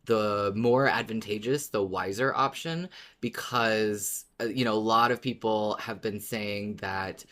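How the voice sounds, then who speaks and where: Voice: medium pitch, fairly even intonation, a little slow, hardly any pauses, a bit bright. A podcast co-host talking casually and explaining things in a chatty fan-discussion style.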